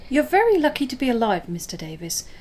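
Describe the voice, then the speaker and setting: A woman playing a brisk, no-nonsense hospital medic in a radio drama. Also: high-pitched, very animated, a little mellow. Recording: faint background ambience noise.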